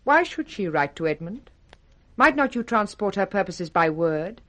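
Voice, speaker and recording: A woman's voice: mid-range voice, big pitch swings, moderate pace, a bit bright. An actress playing a cold, jealous, scheming noblewoman, commanding and insinuating, with a British accent in 1960s radio Shakespeare.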